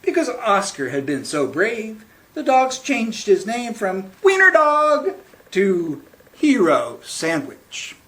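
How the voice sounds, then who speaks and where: A man reading a picture book aloud to children as a librarian at storytime, with theatrical, deliberate phrasing. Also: high-pitched, fairly bright tone.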